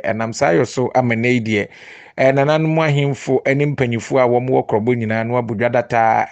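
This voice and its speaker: A Ghanaian man delivers a scripted news report as a voice-over. His pitch sits in the middle of the male range. The recording is close and dry, with very little room sound. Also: creaky, no room sound.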